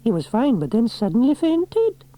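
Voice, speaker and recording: A man voicing a capable young woman firefighter in a storybook reading, brisk, kindly and a little bossy. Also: very high-pitched, wildly swooping pitch, dark tone, no echo, voice pushed up, heavily acted.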